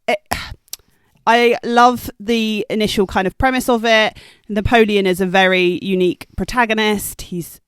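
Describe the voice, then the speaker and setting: High, very clear-voiced man discussing film. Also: a bit bright, no echo, clean studio sound. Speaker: podcast co-host and film critic. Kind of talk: casual analytical film discussion.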